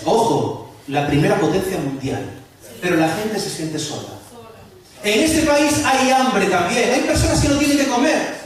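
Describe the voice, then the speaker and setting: A man preaching as a pastor, lively, asking the congregation questions and joking with them. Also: sits high. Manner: lively, asks the audience questions and jokes. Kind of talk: sermon to a church gathering. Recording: echoey hall from far off.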